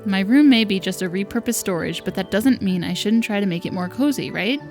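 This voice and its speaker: A woman's voice: mid-range voice, a little fast, music in the background, lightly acted. A woman voicing a young servant stepdaughter, wry and resentful but patient, reading visual novel lines aloud. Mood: resolute.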